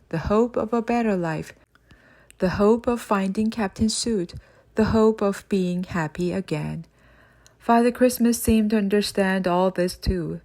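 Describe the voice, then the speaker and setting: A woman reading a children's audiobook as narrator, in an expressive storytelling style. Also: medium pitch.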